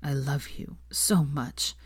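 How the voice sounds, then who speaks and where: A woman's voice: extremely low pitch, slow, breathy edge, no room sound, voice pushed down, full character voice. A woman voicing an anxious, stubborn young man in love, self-conscious and easily flustered, in an audiobook-style story reading. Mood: earnest, tender.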